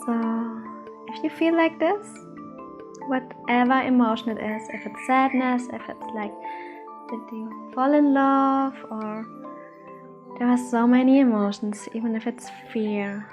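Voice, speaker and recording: High-voiced slow woman guiding meditation. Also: fairly even intonation, music in the background. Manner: soothing, unhurried, gentle instructions with pauses. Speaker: meditation teacher, channel host. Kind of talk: guided meditation.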